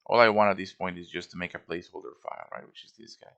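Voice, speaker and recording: A man's voice: deep voice, fast, creaky, a bit bright, highs cut off. A man giving a casual show-and-tell tutorial as a game modder, informal and thinking aloud as he works.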